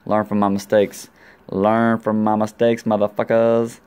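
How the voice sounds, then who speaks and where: Guy with a lowish voice doing a laid out how-to vlog, slangy, throws in little sound words, at home. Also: flat delivery, measured pace, a little mellow, smooth. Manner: colloquial, playful sound-effect interjections. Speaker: motorcycle vlogger demonstrating gear. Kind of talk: casual hands-on tutorial chat.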